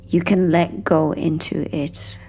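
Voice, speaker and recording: Slow, low-voiced woman guiding sleep hypnosis. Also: fairly even intonation. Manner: gentle, hushed, unhurried, with soft trailing phrase endings. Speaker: hypnosis guide and narrator. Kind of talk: guided sleep hypnosis, soothing scripted narration.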